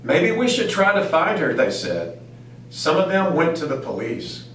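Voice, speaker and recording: Lively man reading in very echoey room. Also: fairly high pitch, measured pace. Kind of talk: reading a picture book aloud. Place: small school room indoors. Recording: very echoey, distant mic, faint hum.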